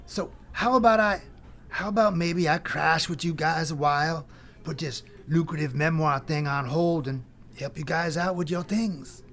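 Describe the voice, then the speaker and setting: A man's voice: a little high, moderate pace, a bit bright. A man playing Death as a brash, glib, freeloading cousin who rambles in bro talk in an audio comedy drama. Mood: rambling, persuasive.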